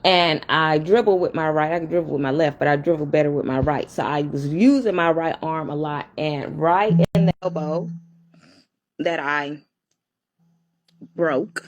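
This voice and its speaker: A woman talks to her camera in a loose, chatty way, speaking right to her viewers as if they were friends in the room. Her voice sits a little low. She records in an upstairs room at home with a fan running. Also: normal speed, a little hiss or hum. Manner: chatty, addresses viewers directly and often. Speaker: vlogger. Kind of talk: casual talk to camera.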